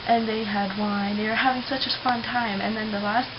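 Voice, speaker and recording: A young woman with a fairly high voice rambles through casual storytelling in a halting, giggly way. The recording is dull and lo-fi, with a clear hiss over everything. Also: steady tone. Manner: giggly, informal, swearing and halting. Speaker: vlogger. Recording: muffled webcam-style audio with loud hiss.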